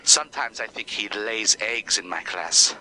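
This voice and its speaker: A man voicing an exasperated female Spanish teacher who swears, complains and uses broken grammar, with a low voice for a woman. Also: measured pace, sharp tone, poor audio. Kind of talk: one-man comedy skit.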